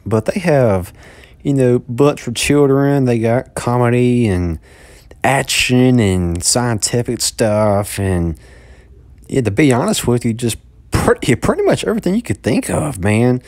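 A man's voice: mid-range voice, animated, measured pace, a little mellow, no echo. A man, filming a casual walk-through vlog of a big store on his phone, rambling and repeating himself. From Mississippi, USA. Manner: rambling, repeats words, upbeat. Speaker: vlogger. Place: large indoor store. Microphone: handheld phone.

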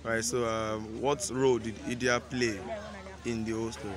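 A man's voice: mid-range voice, little pitch movement, moderate pace. A man putting short interview questions to people outdoors. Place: outdoor yard behind camera. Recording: crowd chatter outdoors.

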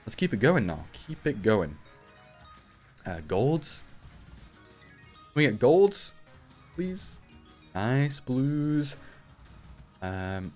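A young man, hoarse from a cold, giving live commentary on a slots stream through a headset and jumping up loudly at big wins. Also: a little high. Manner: shouts and rises sharply at big wins. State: raspy from a cold.

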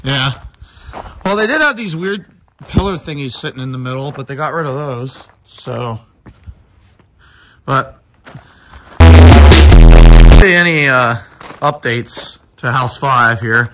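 A man giving casual, halting, rambling vlog commentary as host of a walking tour. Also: mid-range voice, pushed and bright, soft background music. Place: walking through empty new houses and outside. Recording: handheld camera with clear background noise.

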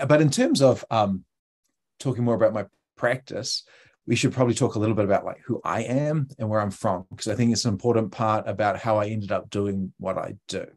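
A man with a New Zealand accent and a fairly low voice gives an informal talk over a video call. His phrasing is conversational and a little hesitant, as a guest designer explaining his work. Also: fairly quick, a little mellow. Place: indoor room on a video call.

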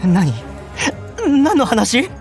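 A man's voice: extremely high pitch, very animated, drawn-out words, soft background music, exaggerated voice. A man playing an exasperated, easily flustered young male teacher in an anime audio drama. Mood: baffled protest.

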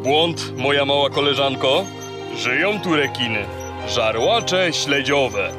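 An actor playing a gruff, boastful sea god who guides and teases like a showman in a children's audio drama. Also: medium pitch, expressive, takes it slowly, music in the background. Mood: grandly informative.

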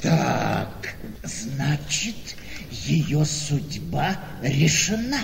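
Swooping, jovial rich idler. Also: male voice, mid-range voice, sing-song, drawn-out words, vocal fry, exaggerated voice. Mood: confidential. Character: jovial, nosy rich idler, son of wealthy parents. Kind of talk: theatrical radio play dialogue. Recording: noisy, echoing hall-like radio play recording.

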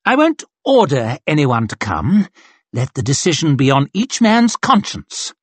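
A man's voice: a little high, big pitch swings, unhurried, breathy edge, no echo, voice pushed up, exaggerated voice. A man putting on a sneering arrogant colonel. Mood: haughty. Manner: sneering, theatrical, cutting. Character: arrogant, mocking male cavalry colonel.

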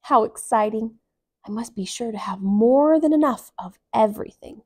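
A woman voicing a boastful, excitable female elephant in a storybook read-aloud, exclaiming and exaggerating everything she says. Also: on the high side, normal speed, close to an everyday voice. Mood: exuberant.

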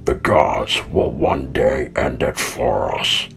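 A man playing a solemn, weary old heroic robot leader in an animated fan dub, speaking with grave, portentous deliberation. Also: rumbling low, takes it slowly, creaky, over music, exaggerated voice. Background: musical score.

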